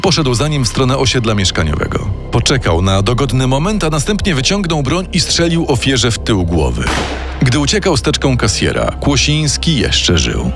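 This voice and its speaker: A man reading a scripted true-crime story in a measured, dramatic storytelling manner. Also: medium pitch, big pitch swings. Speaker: narrator. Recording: music playing underneath.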